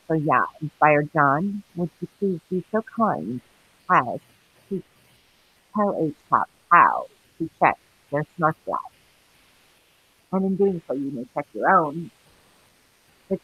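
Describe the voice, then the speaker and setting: A woman's voice: low-pitched, husky, no echo, muffled recording. A middle-aged woman from New York hosting a livestream, chatting casually, rambling between topics and reading out the chat with frequent laughs. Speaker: livestream host.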